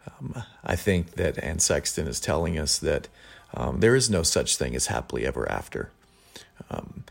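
Male reader, very low and gravelly, measured delivery for poetry and reflective commentary. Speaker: guest reader. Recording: faint background noise.

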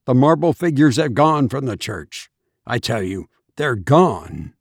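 A man's voice: medium pitch, big pitch swings, unhurried, vocal fry, no echo, studio quality, heavily acted. A man playing a shaken, overwrought husband in a horror storybook, with an urgent, defensive manner. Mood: frantic. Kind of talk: dramatised storybook reading.

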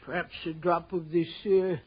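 A courteous male country doctor played by an actor, with a notably high voice for a man. He speaks in a precise, measured, slightly fussy way, in a muffled, hissy sixties radio drama. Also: little pitch movement, natural voice. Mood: soothing, grave. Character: courteous, unruffled small-town male doctor. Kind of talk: 1960s radio drama acting.

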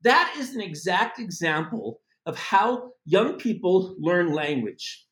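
Chatty middle-aged guy from the US teaching, high voice that jumps around a lot, roomy echo. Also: slow. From United States. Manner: animated and chatty, with asides and laughs. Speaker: language teacher. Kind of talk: casual spoken lesson.

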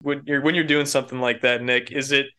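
A young man co-hosting a podcast, dropping quick joking asides into casual chat. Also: medium pitch, level tone, pushed and bright, smooth. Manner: jokey interjections, quick asides. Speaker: podcast co-host.

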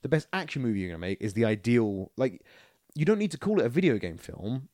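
Animated man in casual podcast chat. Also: medium pitch, fast talker, no room sound, clean studio sound. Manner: animated, rambling, acts out quotes. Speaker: podcast co-host. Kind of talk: casual film and video game chat.

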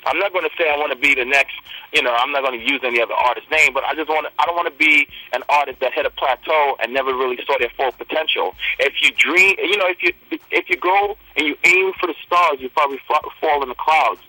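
A man's voice: fairly high pitch, fast, bright, forceful tone, dry recording, rough recording. A young man from Trinidad, a rapper, talking confidently and promoting himself in a casual interview. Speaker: rapper guest.

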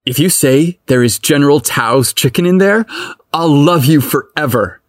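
A man's voice: on the high side, normal speed, no room sound, clean studio sound, close to an everyday voice. A man voicing an awkward, flirtatious man on a date in an audio story, hesitant and teasing. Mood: awkwardly eager.

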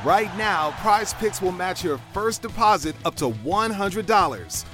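A man's voice: high voice, expressive, unhurried. A man reading a scripted promotional advertisement as an announcer. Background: faint music.

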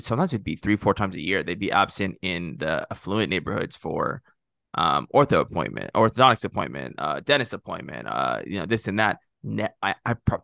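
A man's voice: sits low, gravelly, pushed and bright, no echo, studio quality. A young man from Texas co-hosting a podcast, chatting casually in rambling, self-interrupting talk with jokes. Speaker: podcast co-host.

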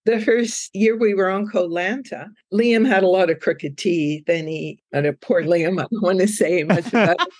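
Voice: medium pitch, moderate pace, hardly any pauses, dry recording. A middle-aged speaker, chatting as an interview guest and telling anecdotes with easy laughs. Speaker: guest living abroad. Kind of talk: casual interview chat.

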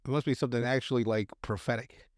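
A man's voice: mid-range voice, fairly even intonation, fast, dry recording, studio quality. A man co-hosting a casual podcast, cutting in with crude jokes and frequent swearing. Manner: crude joking interjections, swears often. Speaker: podcast co-host.

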